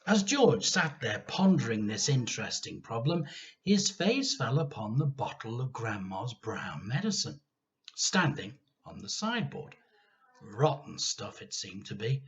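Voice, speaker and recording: Casting: male children's story reader, unhurried and highly expressive, dramatic pauses, slightly dull home-grade recording. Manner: dramatic emphasis with deliberate pauses. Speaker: teacher reading aloud.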